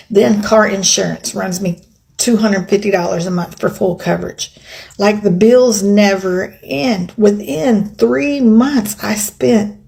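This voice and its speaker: A woman's voice: medium pitch, vocal fry, darkish tone. An American woman vlogger talking casually about her own life in a chatty, emphatic, opinionated way. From United States. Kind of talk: casual personal vlog talk.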